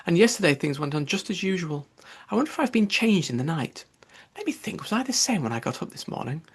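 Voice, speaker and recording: A man's voice: sits high, sing-song, fast, slightly rough, pitched above the performer's own voice, full character voice. A man voicing an anxious, chattering young girl from a storybook, musing fretfully to herself. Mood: puzzled, fretful. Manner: muses aloud to self, fretful. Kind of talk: storybook character voice.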